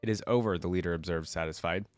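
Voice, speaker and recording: A man's voice: low voice, fast, darkish tone, no echo. A man who creates reaction videos, reading a story aloud expressively and dramatically, with casual asides.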